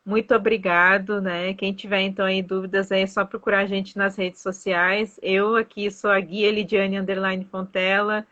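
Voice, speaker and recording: A woman's voice: medium pitch, little pitch movement, normal speed, hardly any pauses, a bit bright. A woman from southern Brazil, hosting a casual live-stream chat as a tour guide, full of fillers. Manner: chatty, many fillers like "né" and "aí". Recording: dull lo-fi live-stream audio.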